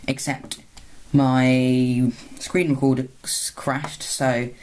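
A video host narrating an informal screen-recorded tutorial in a halting way, often rephrasing mid-word. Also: mid-range voice, flat delivery, a little mellow, slight background noise. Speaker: video host, hobbyist presenter.

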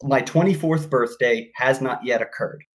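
A man's voice: mid-range voice, moderate pace. A man in his twenties on a voice call, laying out his argument step by step. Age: 20s. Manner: methodical, argues step by step. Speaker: debate caller. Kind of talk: casual debate. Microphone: voice call mic.

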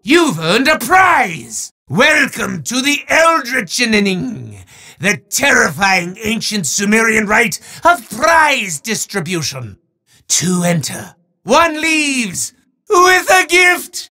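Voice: medium pitch, very animated, slow, gravelly, pushed and bright, full character voice. Marasmus, a bellowing pompous demon. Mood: grandly menacing. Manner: theatrical, bellowing, speaks of himself in third person. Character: pompous male demon handing out prizes. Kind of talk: game character voice acting.

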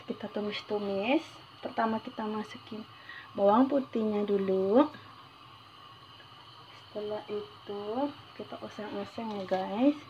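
A woman's voice: mid-range voice, steady tone, a little slow, pauses often, darkish tone, lo-fi sound. Woman chatting over kitchen noise. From Indonesia. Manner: chatty, repetitive, peppered with English words. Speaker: home cooking vlogger. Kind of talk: casual unscripted cooking tutorial. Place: home kitchen, indoors. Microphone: handheld phone behind the camera. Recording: noisy kitchen with frying sizzle. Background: frying sizzle, stir noises.

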